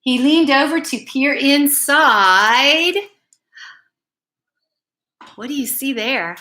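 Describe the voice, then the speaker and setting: A woman reading a picture book aloud to children as a bedtime story, phrasing it deliberately and emphatically with little asides to her young listeners. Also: sits high, bright, forceful tone.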